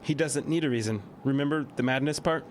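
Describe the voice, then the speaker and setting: A man's voice: brisk, lightly acted. A man playing a thoughtful teenage boy in an audio drama, explaining things in a matter-of-fact way. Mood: assured. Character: thoughtful teenage boy, newly priest to an oracle. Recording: clear outdoor ambience and vehicle rumble.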